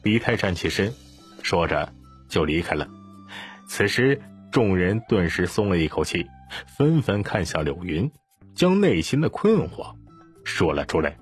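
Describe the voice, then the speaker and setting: A man's voice: deep voice, very animated, a little slow, slightly rough, faint music behind. A man narrating a scripted audiobook story.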